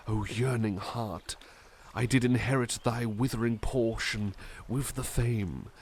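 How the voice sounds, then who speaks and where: A man playing a genteel, attentive butler in an ASMR roleplay, speaking gently and reflectively with a love of poetry. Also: mid-range voice, a little hiss or hum. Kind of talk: soft-spoken ASMR roleplay with poetry readings.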